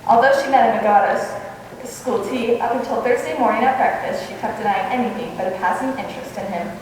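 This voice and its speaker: Wry schoolmarm acted by a woman for a vintage radio sitcom, deadpan wisecracks, captured far from the mic with faint noise. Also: fairly high pitch, quick pace, close to an everyday voice. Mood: wry, teasing. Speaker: lead actor in a stage radio play. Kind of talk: 1950s radio comedy acting. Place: live stage before a studio audience. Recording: echoey hall, distant mic, faint noise.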